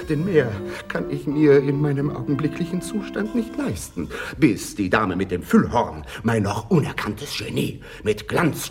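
An actor playing a pompous, self-pitying young provincial would-be artist in a radio play, speaking in florid, declamatory sentences. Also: male voice, medium pitch.

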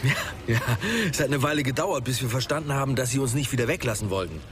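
A man's voice: mid-range voice, quick pace, a bit bright, close to an everyday voice. Actor as reflective man over background noise. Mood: wry. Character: male author and plane crash survivor, reflective. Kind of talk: naturalistic radio drama storytelling. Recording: noisy public ambience behind.

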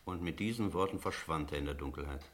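An actor playing a stern, sceptical village priest in a radio drama, speaking in measured, literary phrases. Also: male voice, very deep voice, slight background noise. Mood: grave, reflective. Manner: measured, rhetorical, literary diction. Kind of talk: German radio drama acting with narration.

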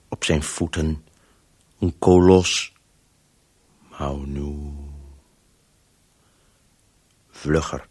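An actor playing a weary, urgent disembodied voice in a radio play, speaking in breathless, halting fragments with a hushed, husky tone. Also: male voice, a bass voice, heavily acted. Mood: hushed urgency. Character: disembodied male Voice, obsessively chasing a story, weary and urgent.

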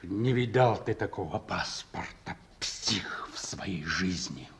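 A man's voice: low-pitched, animated, slow pace, gravelly, heavily acted. An actor playing a weary, proud reformed ex-convict man in a Soviet radio drama, speaking tersely. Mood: insistent, proud.

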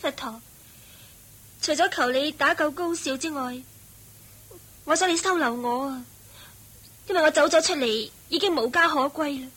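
A bright-voiced pleading young woman. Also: sits high, moderate pace, long pauses. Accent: Hong Kong Cantonese. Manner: earnest, pleading. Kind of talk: radio drama acting.

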